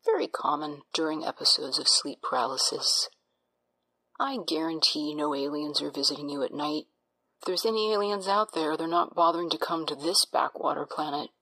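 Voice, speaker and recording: A woman playing a doctor in an audio drama, breathy, soothing and clinical, with a patronizing, unsettling edge. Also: low-pitched, moderate pace, bright, forceful tone, heavily acted, rough recording. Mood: clinical, assured. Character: calm, smoothly reassuring doctor with an unsettling edge.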